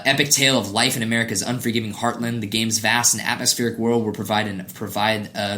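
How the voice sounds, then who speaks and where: A man's voice: lowish voice, bright, forceful tone. Male gaming podcast host, enthusiastic. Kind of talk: casual gaming podcast chat.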